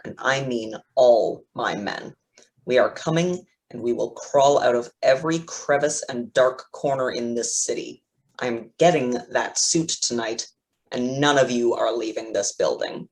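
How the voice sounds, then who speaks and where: A man's voice: mid-range voice, moderate pace, close to an everyday voice, poor audio. A man playing a smug, threatening supervillain crime boss in a staged play reading, with a taunting manner. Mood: menacing.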